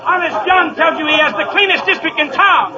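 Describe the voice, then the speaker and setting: Shrill rabble-rousing crusader. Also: male voice, a little fast. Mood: accusing. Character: rabble-rousing, accusing political crusader addressing a mob. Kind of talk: 1940s radio comedy acting. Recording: muffled 1940s radio recording, crowd noise.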